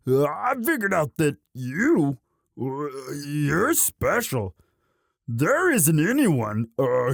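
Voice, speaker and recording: A man playing a young orc, a gentle himbo sweetheart who talks in a halting, stammering, bashful and earnest way in a romantic roleplay audio. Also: on the high side, gravelly, no echo, clean studio sound, heavily acted.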